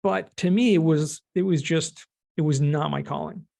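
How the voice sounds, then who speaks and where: A middle-aged American man speaks as a guest in a conversational interview. His voice sits slightly high for a man and has a clear gravelly fry. He explains things in plain, everyday words. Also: steady tone, moderate pace, darkish tone. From California, United States. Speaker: podcast guest, cost segregation expert and former teacher.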